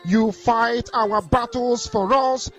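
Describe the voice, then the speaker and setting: A man's voice: extremely high pitch, steady tone, slow pace, fairly bright tone. A man leading a chanted prayer in a sing-song intoning voice. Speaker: prayer leader. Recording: dull lo-fi audio with music.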